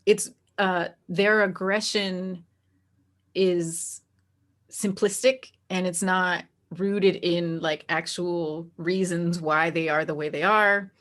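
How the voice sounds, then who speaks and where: A woman talking casually as an interview guest, animated and opinionated, quick to laugh. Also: lowish voice. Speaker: interview guest, tabletop game master.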